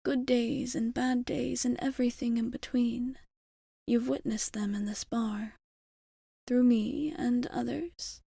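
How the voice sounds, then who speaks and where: A woman playing a gentle, courteous vampire bartender in an ASMR roleplay, soft-spoken and breathy, often near a whisper, with hesitant, formal phrasing. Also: a little high, dry recording, studio quality, heavily acted. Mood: fond, reassuring. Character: gentle, courteous female vampire bartender, tenderly devoted immortal. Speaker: ASMR performer. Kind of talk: ASMR roleplay addressed to the listener.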